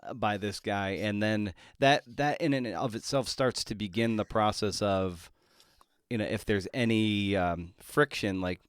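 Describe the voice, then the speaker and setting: A man's voice: on the low side, measured pace, clean tone, no room sound. Male interviewer, very dry close sound. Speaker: podcast host interviewing an author. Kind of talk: conversational interview.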